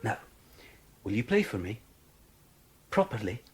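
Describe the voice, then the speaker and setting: An actor playing a kindly piano tuner gently coaxing a child in a period audio drama, savouring his words. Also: on the low side, very animated, a little slow.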